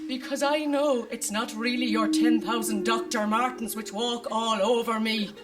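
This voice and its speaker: Woman acting a high-voiced, guilt-tripping Irish martyr mother. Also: steady tone, moderate pace, recorded from a distance, close to an everyday voice. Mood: martyred, pleading. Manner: melodramatic, long-suffering, fussing. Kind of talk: live sci-fi radio comedy acting.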